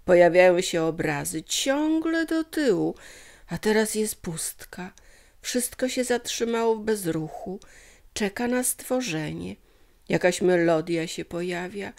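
A woman's voice: medium pitch, expressive, slow pace, vocal fry, darkish tone. A woman voicing a dreamy male professor in a children's story, exclaiming in wonder and stretching out words. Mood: entranced. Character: dreamy male professor, awestruck by visions of creation.

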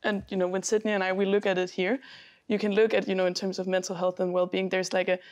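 A woman's voice: medium pitch, level tone, fast talker. A young woman with a mid-range voice co-hosts a panel as a student. She talks casually and loosely, circling her point with frequent "like" and "you know" fillers. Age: young adult. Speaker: student co-host. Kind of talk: panel discussion. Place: indoor library room with bookshelves.

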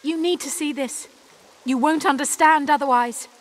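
Actress as a shrill, desperate accused elf woman. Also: moderate pace, lightly acted. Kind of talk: video game voice acting.

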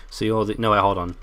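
A young man with a bassy voice, hosting a let's play with casual, joking commentary and humming. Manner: joking, hums and sings to himself, loud bursts.

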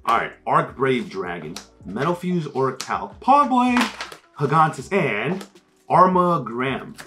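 A man's voice: mid-range voice, slow pace, over music. A young man co-hosting, chatting casually and calling out card names as he opens packs. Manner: lively, calls out card names, excited outbursts. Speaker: co-host opening card packs. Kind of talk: casual chat while opening packs.

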